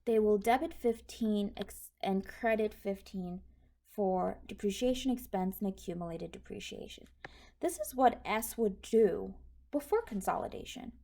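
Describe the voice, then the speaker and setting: Mellow-voiced woman teaching step by step. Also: mid-range voice, lively intonation, a little slow. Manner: step-by-step, informal explaining. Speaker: accounting instructor. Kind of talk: explanatory tutorial over handwritten notes. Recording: clearly noisy recording with clicks.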